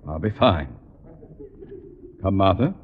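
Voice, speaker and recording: An American actor playing a pompous, exasperated middle-aged father who is quick to rage, in 1940s radio comedy style. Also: sits low, animated, phone-quality sound, slight background noise. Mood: straining for calm. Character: blustering, easily enraged middle-aged man, pompous father. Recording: vintage radio recording, roomy reverb.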